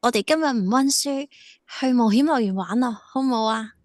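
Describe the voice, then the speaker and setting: An actress playing a sweet, gentle young woman in love in an audio drama, tender and girlish, with a Hong Kong Cantonese accent. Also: a little high, moderate pace, no room sound, clean studio sound, natural voice. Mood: cheerful.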